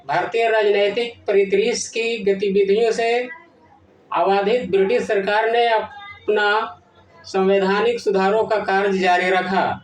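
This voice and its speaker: A man presenting a scripted educational lesson, reading formally in short, halting phrases. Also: high voice, little pitch movement. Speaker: presenter of an educational history channel. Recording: echoey room, distant from the mic.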